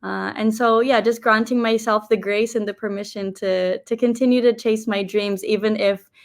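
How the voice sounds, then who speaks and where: A young woman raised in New Zealand speaks at an ordinary, middling pitch in an interview. She sounds earnest and reflective as she thinks her answers through. Now and then she drops in a short Filipino phrase. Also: fairly even intonation, moderate pace. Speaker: pageant contestant.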